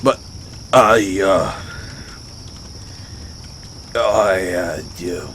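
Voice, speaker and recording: A British man voicing a dim, sheepish orc barbarian in a fantasy audio roleplay, speaking in a halting, blunt, stammering way. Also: low voice, fairly bright tone, a little hiss or hum, exaggerated voice. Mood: sheepish, apologetic. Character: gruff but sheepish, dim orc barbarian adventurer, adult male. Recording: outdoor night ambience with crickets.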